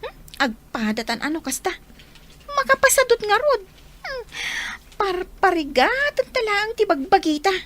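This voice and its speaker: A woman plays a lazy Filipino schoolgirl in a radio drama. Her voice is extremely high and whiny, moving up and down freely, over faint background ambience. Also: big pitch swings, normal speed, noisy background. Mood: bored, complaining. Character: lazy young daughter, whiny schoolgirl student.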